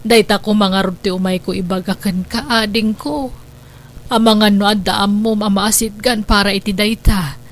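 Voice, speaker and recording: A woman's voice: medium pitch, fairly even intonation, normal speed, a little hiss or hum, natural voice. A woman playing a worried, devout and loving old grandmother in a radio drama, speaking in an earnest, plaintive way. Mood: worried, pleading.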